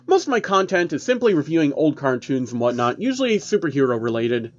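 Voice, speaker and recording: A man's voice: a little high, big pitch swings, brisk, highs cut off. A man voicing a chatty male YouTuber who explains creepy cartoon myths emphatically, in online video style. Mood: upbeat presenting.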